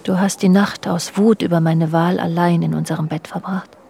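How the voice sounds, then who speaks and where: A woman's voice: on the low side, fairly even intonation, moderate pace, gravelly, warm tone, a little hiss or hum. An actress in a German radio drama playing a worldly wife who confides in her husband about their restless, jealous marriage. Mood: wistful, reflective. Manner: literary, confiding, addressing her husband directly. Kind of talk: German radio drama, intimate narration and dialogue. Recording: music behind the voice. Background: music.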